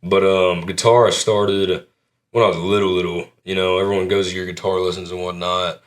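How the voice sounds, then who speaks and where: A man with a low, deep voice speaks in an unhurried, laid-back way. He sounds modest as he talks about his music, filling his answers with easy fillers. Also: level tone. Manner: laid-back, modest, lots of "you know" and "kinda". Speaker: country singer, interview guest. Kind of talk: relaxed interview answers.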